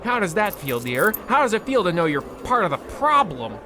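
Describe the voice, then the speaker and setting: A man's voice: high-pitched, big pitch swings, normal speed, bright, forceful tone, slight background noise, exaggerated voice. A man playing a fussy, pompous, scolding husband who blusters through an old-time comedy radio play. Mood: indignant. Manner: pompous, blustering, stammering indignation.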